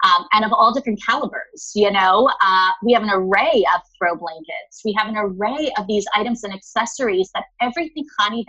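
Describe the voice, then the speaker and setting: A woman's voice: medium pitch, sharp tone, dull audio. A woman who runs a linen store, chatting in a podcast interview and often calling the listener honey. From Los Angeles area.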